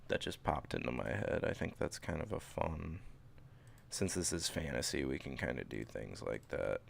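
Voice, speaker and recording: A man's voice: very deep voice, animated, normal speed, gravelly, slight background noise. A sniffly man in his thirties, thinking aloud as he plans a tabletop game setting live from a small home room. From St. Louis area, USA. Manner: rambling, thinking aloud, self-interrupting. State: sniffly from a cold. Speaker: streamer. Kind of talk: casual livestream worldbuilding. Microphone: desk microphone in front, webcam.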